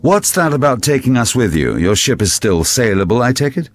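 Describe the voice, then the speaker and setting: A man acts a scornful Victorian ship's commander for an audio drama. His medium-pitched voice swoops with animated contempt. He is pompous, suspicious and sarcastic. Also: brisk, soft background music, natural voice. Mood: suspicious, needling. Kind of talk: period audio drama acting.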